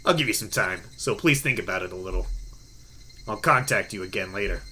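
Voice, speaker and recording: A man voicing a mysterious anonymous phone caller in an audiobook, calm, measured and politely threatening. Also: lowish voice, slight background noise, pitched below the performer's own voice, rough recording. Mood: calm, dismissive. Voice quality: hoarse, metallic. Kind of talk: audiobook character voice.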